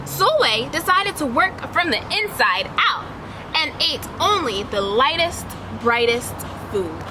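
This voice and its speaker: A woman with a high, very lively voice reads a children's story aloud in an instructive teacher's way. She addresses young listeners directly and shapes the narration expressively. There is clear background noise, like street ambience. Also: slow pace. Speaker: teacher reading a picture book aloud.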